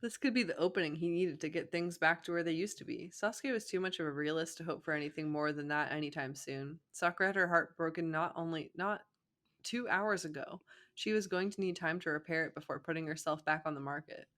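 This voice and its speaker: Low female voice, casual read-aloud, chatty, giggly, joking asides. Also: fairly quick, a bit bright. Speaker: co-host of a fan fiction reading show. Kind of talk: casual chat, reading fan fiction aloud.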